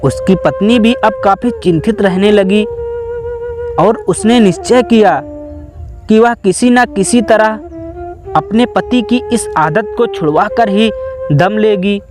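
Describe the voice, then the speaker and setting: Male narrator for moral fables: high voice, unhurried deliberate delivery, music underneath. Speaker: storyteller. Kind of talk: scripted moral story narration in Hindi.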